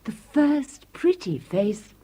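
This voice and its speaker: A woman's voice: on the high side, big pitch swings, slow, mellow, breathy edge, exaggerated voice. An actress playing Claire, a scheming, haughty middle-aged woman who scolds her brother and orders the maid about in a radio drama. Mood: scornful. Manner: cutting, scornful, bossy. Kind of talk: 1970s radio drama acting.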